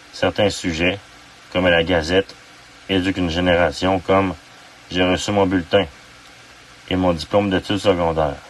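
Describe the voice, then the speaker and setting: A low-pitched man recites poetry as an amateur poet. He phrases it deliberately and haltingly. He is recorded at some distance from the mic, with faint noise behind him.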